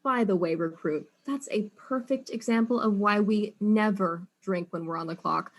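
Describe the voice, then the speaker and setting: A woman's voice: medium pitch, moderate pace, natural voice. Woman acting a female detective, mid-range voice, wry, weary, conversational, audio drama. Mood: exasperated. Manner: casual, wry.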